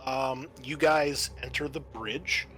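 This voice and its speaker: Male game master, bright and clear-cut tone, medium pitch, informal descriptive narration for a tabletop roleplaying session. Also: steady tone, takes it slowly.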